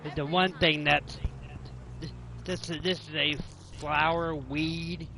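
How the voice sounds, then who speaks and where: A man's voice: on the high side, flat delivery, slow pace, creaky, background noise. A man chatting casually while recording a game, stammering and repeating words, with the sniffles. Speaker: gamer recording gameplay. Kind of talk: casual chat.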